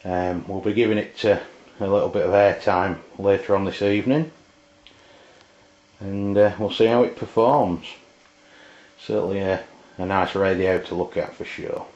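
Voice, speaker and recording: Low-voiced man, dull lo-fi sound. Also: fairly even intonation, a little mellow. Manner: halting, hesitant delivery. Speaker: product reviewer. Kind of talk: casual unscripted review. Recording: dull lo-fi amateur video sound.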